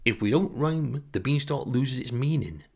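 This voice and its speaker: A man's voice: medium pitch, expressive, normal speed, breathy edge, pitched above the performer's own voice. A man voicing a proud postman in a comic monologue who delivers everything as singsong rhyme. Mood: insistent. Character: proud rhyme-obsessed postman, amateur actor.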